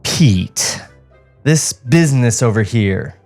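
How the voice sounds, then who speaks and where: A man's voice: medium pitch, animated, takes it slowly, a little mellow, soft background music. A man playing a cautious, furtive studio boss in a comic script read.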